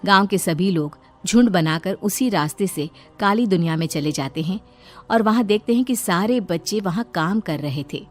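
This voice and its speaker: A woman's voice: on the low side, lively intonation, moderate pace. Woman narrating a children's story over music. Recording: background music under the voice.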